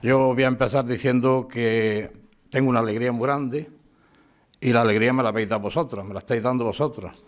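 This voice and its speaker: A man giving a lively, informal talk at a town tribute, acting out dialogue as he tells an anecdote. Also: medium pitch, fast. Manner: chatty storyteller, acts out dialogue. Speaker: speaker giving biographical sketch. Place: town hall event room.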